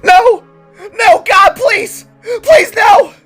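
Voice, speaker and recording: Woman playing a very high-pitched grieving child creature. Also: very slow, a bit bright, faint music behind, exaggerated voice. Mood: desperate pleading. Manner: pleading, repeating words in short bursts. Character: grief-stricken child creature, pleading and frightened. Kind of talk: dramatic audio story acting.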